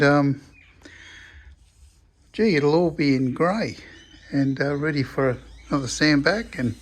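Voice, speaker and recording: An Australian man talks his way through a day's work in his shed, his voice croaky and a little rough. He rambles in a relaxed, chatty way and pokes fun at himself. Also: a little high. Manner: rambling, chatty, self-deprecating. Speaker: car restoration vlogger. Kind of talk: casual workshop commentary. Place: home shed.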